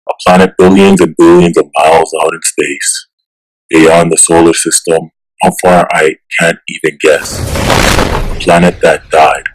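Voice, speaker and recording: A man's voice: a bass voice, a little hiss or hum, poor audio. An actor playing an earnest, troubled superhero searching for his origins, in a dramatic motion comic style. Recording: loud music behind the voice. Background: dramatic music.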